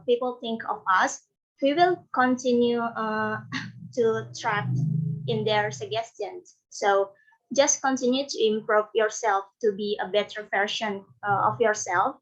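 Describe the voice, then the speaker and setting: Slow, halting woman. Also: fairly high pitch, fairly even intonation. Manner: hesitant, many pauses with uh. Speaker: student speaker. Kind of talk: class speech practice. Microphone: video call. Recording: dull lo-fi online call audio.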